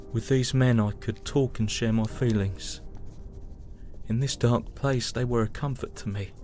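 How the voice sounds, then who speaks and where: An actor playing a weary, grieving young British soldier, narrating his war journal in a solemn, measured, mournful way. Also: medium pitch, close to an everyday voice. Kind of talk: dramatic first-person journal narration. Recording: music behind the voice. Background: music.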